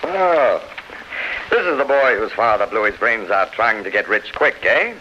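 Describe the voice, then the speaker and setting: A sneering English colonel in a vintage radio play. He is brusque and forceful, with lively swings of pitch. The recording is dull and faintly noisy. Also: unhurried. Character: blustering, mocking English colonel and uncle. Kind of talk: 1930s radio drama acting.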